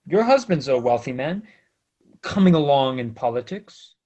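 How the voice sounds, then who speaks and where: An actor playing a smug, menacing small-time blackmailer in an old-time radio crime drama, talking in taunting slang. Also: male voice, mid-range voice, expressive, moderate pace, a little mellow, highs cut off, lightly acted.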